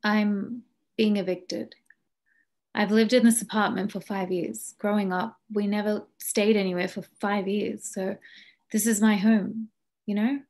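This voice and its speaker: A woman reads a dramatic monologue as an acting exercise. Her voice sits at an ordinary middle pitch for a woman. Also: little pitch movement, measured pace, a little mellow. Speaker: acting student reading a practice monologue.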